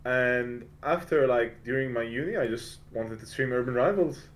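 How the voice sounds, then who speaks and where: A man's voice: medium pitch, normal speed. A man in his twenties from France and Lebanon talking informally and easily as the guest in a casual interview. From born in France, grew up in Lebanon. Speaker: interview guest, game streamer.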